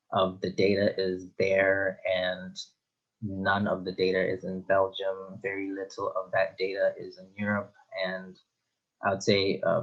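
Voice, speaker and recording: A man's voice: deep voice, muffled recording. A young man from Zimbabwe, a guest author, answering interview questions thoughtfully with frequent ums and uhs. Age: young adult.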